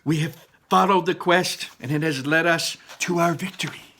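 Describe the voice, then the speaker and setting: A man voicing an anxious, excitable boy on a quest in a storybook, with breathless, emphatic delivery. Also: on the high side, moderate pace, voice pushed up. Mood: excited.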